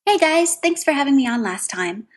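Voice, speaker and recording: A woman's voice: sits high, very animated, moderate pace. A woman who calls in as a listener, giving a casual how-to explanation. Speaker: listener calling in with a tip.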